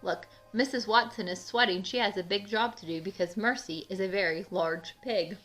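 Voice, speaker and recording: A woman reads a children's storybook aloud in a bright, clear-cut voice. She is expressive and speaks directly to young listeners. Soft music plays underneath. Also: medium pitch, normal speed. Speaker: children's book read-aloud narrator.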